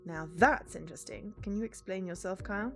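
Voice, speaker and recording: A woman's voice: medium pitch, fairly quick, fairly bright tone, soft background music, natural voice. A woman voicing a guarded, businesslike female investigator in a visual novel, questioning and matter-of-fact. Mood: curious, probing.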